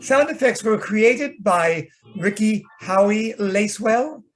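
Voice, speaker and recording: A man's voice: high-pitched, expressive, slow, faint music behind. A man announcing in old-time radio style, as if reading out the credits of a program.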